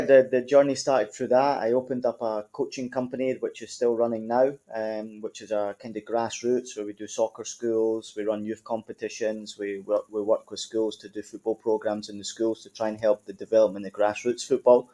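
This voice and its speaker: Scottish club manager, thirties, mellow tone, chatty interview answers. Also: male voice, on the low side, little pitch movement, normal speed. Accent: Scottish, Edinburgh. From Scotland. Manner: conversational, frequent ums and you-knows. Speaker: football club general manager. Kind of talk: podcast interview.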